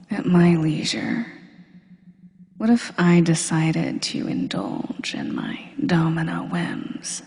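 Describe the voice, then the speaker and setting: A woman performing an intimate, commanding hypnosis roleplay monologue, teasing and deliberate, often dipping toward a breathy near-whisper. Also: lowish voice, a little mellow. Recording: long reverberant hall-like echo.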